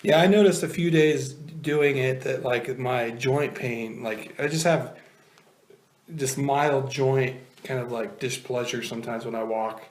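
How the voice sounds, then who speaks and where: A man co-hosting an interview, talking in a relaxed, hesitant way with lots of fillers. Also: mid-range voice, a little slow, roomy sound. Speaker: co-host interviewer. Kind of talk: casual interview.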